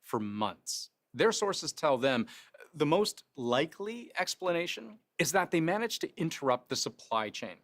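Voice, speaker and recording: A man's voice: a little high, big pitch swings, unhurried. A man hosting a news explainer in a conversational scripted style, posing rhetorical questions and stressing key phrases. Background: faint music.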